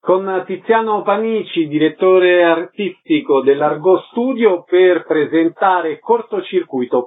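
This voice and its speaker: Higher-pitched male radio host. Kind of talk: radio interview and scripted announcements. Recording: dull, noisy lo-fi radio audio.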